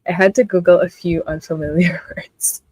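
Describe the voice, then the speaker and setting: A woman's voice: on the low side, fairly even intonation, moderate pace, a little mellow. A young woman with a Filipino accent hosting a casual live reading stream, chatting and reading out viewer comments with lots of "like" fillers. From Philippines. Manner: chatty, many "like" fillers, laughs often. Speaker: livestream host.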